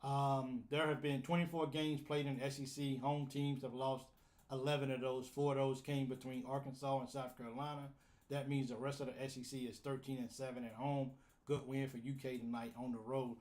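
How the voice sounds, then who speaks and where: A man's voice: medium pitch, flat delivery, brisk, darkish tone. A man hosting a live fan call-in show, casual and flustered while fixing his audio setup. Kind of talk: casual live-stream chat.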